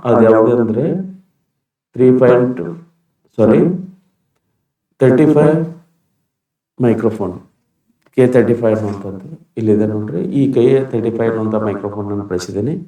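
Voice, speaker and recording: A man's voice: fairly low pitch, fairly quick, long pauses, dark tone, low-quality recording. A man presenting an informal step-by-step tutorial demonstration.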